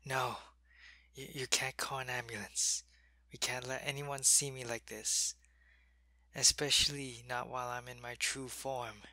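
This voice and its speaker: A man playing a sick, gravelly alien soldier. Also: medium pitch, little pitch movement, measured pace, dry recording. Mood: weary, ill. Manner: soft, weary, often whispering. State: sick and weak, in pain. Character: sick male alien changeling soldier, loyal to his queen. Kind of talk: ASMR roleplay.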